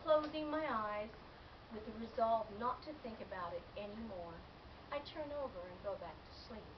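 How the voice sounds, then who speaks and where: A woman's voice: mid-range voice, lively intonation, normal speed. Noisy, distant recording of a woman reading. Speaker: author reading own novel excerpt. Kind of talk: scripted literary narration, first person. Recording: dull lo-fi, distant mic, loud background noise.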